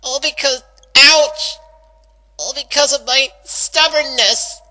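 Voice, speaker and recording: Woman voicing a bright, distressed cartoon pony girl. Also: sits high, heavily acted, low-quality recording. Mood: distressed, self-pitying. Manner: emotional, halting, exclaiming in pain. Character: tough young female cartoon pony, stubborn, panicking and in pain. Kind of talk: dramatic fan-fiction reading.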